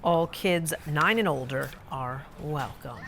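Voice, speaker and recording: Female news reporter, slightly low voice, scripted local-story narration. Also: slow pace, gravelly, a bit bright, a little hiss or hum.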